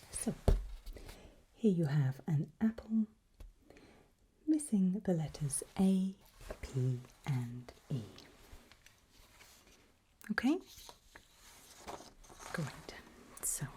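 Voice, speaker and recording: Female ASMR doctor: breathy whisper and soft low talk, slow, patient and reassuring. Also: slight background noise. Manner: gentle, unhurried, encouraging, patient. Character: calm, reassuring doctor running a creepy memory test. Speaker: ASMR performer playing a doctor giving a memory test.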